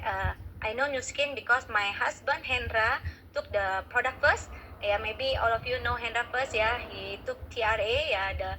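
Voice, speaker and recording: A middle-aged woman with an Indonesian accent giving a testimonial in an online meeting, pausing often with "yeah" and "uh". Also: on the high side, pushed and bright, a little hiss or hum, poor audio. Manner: hesitant, frequent "yeah" and "uh" fillers. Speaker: guest speaker.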